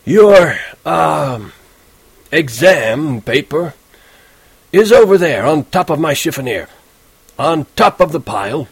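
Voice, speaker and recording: A man reading an audiobook, voicing a sarcastic old male history teacher who speaks slowly and deliberately. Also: on the high side, sing-song, creaky, breathy edge, dry recording, pitched above the performer's own voice, heavily acted. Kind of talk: audiobook character voice.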